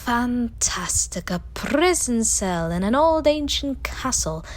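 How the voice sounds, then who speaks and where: High-voiced, sardonic adventurer's companion played by a woman. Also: very animated, slow pace, noisy background. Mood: sarcastic. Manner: sarcastic, wry. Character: sardonic, wisecracking female companion of a time-traveller. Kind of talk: audio drama acting.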